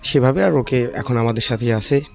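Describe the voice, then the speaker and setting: A Bangladeshi man with a gravelly, medium-pitched voice explains a case in an interview. His phrasing is hesitant and dotted with English terms, and quiet music plays behind him. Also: brisk. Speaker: shelter manager, interview guest.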